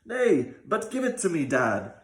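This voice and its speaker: A man's voice: on the high side, wildly swooping pitch, unhurried, pitched above the performer's own voice. A man voicing an earnest, kind youth of about twenty, entreating eagerly in old-fashioned speech for a folk tale. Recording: roomy, echoing sound.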